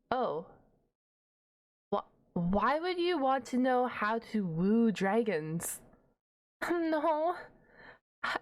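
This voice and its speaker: A woman acting out a nerdy young half-dragon librarian for an ASMR roleplay. Her voice is fairly high and she takes her time, stumbling and correcting herself. She comes across as shy and easily flustered, with a playful, huffy indignation. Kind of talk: ASMR roleplay, one-sided dialogue to the listener.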